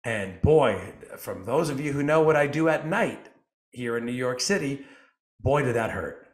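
A man's voice: mid-range voice, animated, measured pace. A man hosting a podcast, full of playful, self-mocking banter and showman-like flourishes. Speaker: podcast host. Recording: roomy echoey sound.

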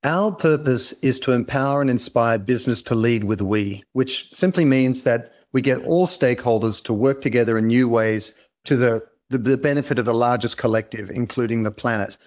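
Podcast guest: middle-aged Australian man, average pitch, earnest and polished storyteller. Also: normal speed. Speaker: interview guest, company founder and author.

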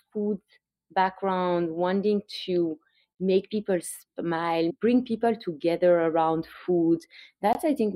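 A woman's voice: on the low side, warm tone, dry recording, rough recording. A woman from Belgium with a Belgian accent, a brand founder telling her story as a guest in a podcast interview, chatty with small restarts.

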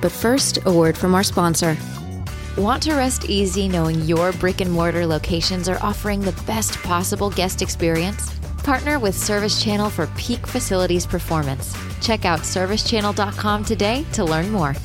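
A woman's voice: medium pitch, moderate pace. A woman announcer reading a scripted podcast introduction and sponsor message. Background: music bed.

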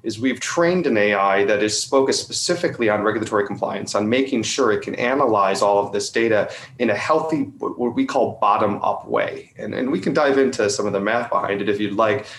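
A man giving an articulate, conversational explanation as an interview guest, often checking his points with a quick tag question. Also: lowish voice, a bit bright, a little hiss or hum. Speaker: company representative being interviewed. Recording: roomy, echoing sound.

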